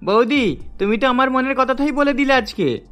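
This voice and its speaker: A man voicing a caring young brother-in-law in a cartoon, gently coaxing. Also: extremely high pitch, lively intonation, normal speed, faint music behind. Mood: tender.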